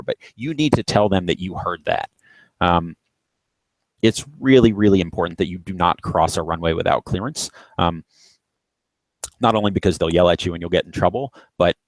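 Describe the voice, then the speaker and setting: A man's voice: sits low, no echo, low-quality recording. A man giving an informal lesson as an instructor, explaining step by step and repeating points for emphasis. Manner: explanatory, emphatic repetition for stress. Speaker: flight instructor. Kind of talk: informal live lesson on radio procedures.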